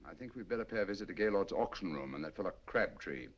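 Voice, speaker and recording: An actor playing a commanding, middle-aged master detective with crisp, precise diction, in 1940s film style. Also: male voice, sits low, expressive, fast talker. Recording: dull lo-fi 1940s film sound.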